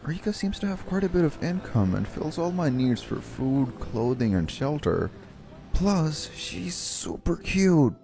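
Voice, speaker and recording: A man voicing an exhausted, polite young office worker who gets flustered, in an earnest manga dub style. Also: medium pitch. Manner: earnest, weary, expressive. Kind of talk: anime-style manga dub narration and dialogue.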